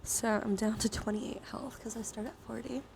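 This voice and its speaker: A woman hosting a casual ASMR-style live stream game, soft-spoken with half-whispered phrase endings and a casually sweary way of talking. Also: on the high side, steady tone, normal speed, gravelly, slight background noise.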